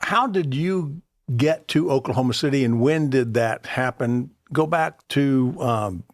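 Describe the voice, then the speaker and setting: A man's voice: mid-range voice, measured pace, dry recording, studio quality. An older American man hosting an interview podcast in a casual conversational style. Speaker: podcast host.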